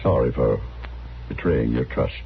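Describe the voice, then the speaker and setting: An actor playing a weary, remorseful widower who speaks haltingly and mournfully in a radio drama. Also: male voice, rumbling low, creaky, exaggerated voice. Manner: halting, mournful, formally apologetic. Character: broken, remorseful widowed husband, weary and resigned. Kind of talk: 1950s radio drama acting. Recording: dull vintage radio recording, faint noise.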